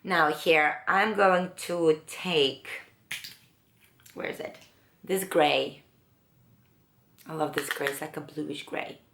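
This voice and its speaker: A woman with a low, raspy voice chatting slowly through a makeup tutorial, pausing often. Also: fairly even intonation, fairly bright tone, reverberant, a little hiss or hum. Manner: unhurried, musing, with many pauses and fillers. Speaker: beauty vlogger. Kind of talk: casual makeup tutorial chat.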